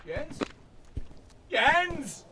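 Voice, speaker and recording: A man's voice: very high-pitched, animated, extremely slow, heavy background noise, heavily acted. A man playing a distraught wounded British soldier in a radio drama, crying out in anguish. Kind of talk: student radio drama acting.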